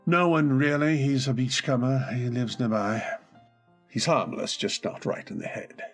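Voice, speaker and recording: An actor playing a secretive, short-tempered husband in an old-time radio drama, snapping in anger and then turning tender and apologetic. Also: male voice, mid-range voice, steady tone, normal speed, soft background music, natural voice. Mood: uneasy. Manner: abrupt angry outbursts softening into tender apology. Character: brooding, secretive, volatile English gentleman husband. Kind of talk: 1940s radio acting.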